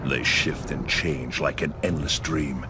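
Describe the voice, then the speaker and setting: A man's voice: very deep voice, steady tone, a little slow, noisy background. A man playing a solemn, ancient god who narrates a war in a grave, portentous game-cinematic style. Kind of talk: dramatic scripted game narration. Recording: loud music behind.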